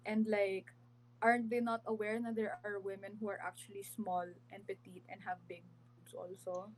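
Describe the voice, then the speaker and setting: A woman in her late twenties with a Filipino accent, a podcast guest in casual chat who talks animatedly, swears freely and mixes in Tagalog. She has a high-pitched voice. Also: level tone, normal speed, slight background noise, low-quality recording. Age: late 20s. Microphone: headset or desk mic on a voice call.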